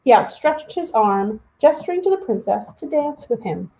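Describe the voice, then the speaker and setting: Female storybook reader for young kids, soft mellow tone, expressive delivery with direct chatty asides. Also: on the high side, normal speed, echoey room, rough recording. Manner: animated, talks directly to young children. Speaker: children's story hour host.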